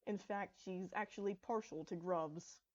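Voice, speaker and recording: A woman's voice: lowish voice, fairly even intonation, unhurried, a little mellow, close to an everyday voice. A fond, talkative pony princess and young mother, played by a woman reading aloud. She sounds conversational in a storybook tale, on a slightly dull recording. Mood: fond, chatty. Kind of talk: acted dialogue in fan fiction storybook reading.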